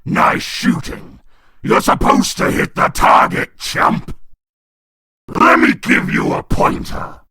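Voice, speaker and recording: A man voicing a crude, wisecracking demon henchman in a comic dub, snide and raspy. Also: slow pace, bright, forceful tone. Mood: sneering, taunting. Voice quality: raspy, gritty. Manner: taunting, snide. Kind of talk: cartoonish comic dub villain.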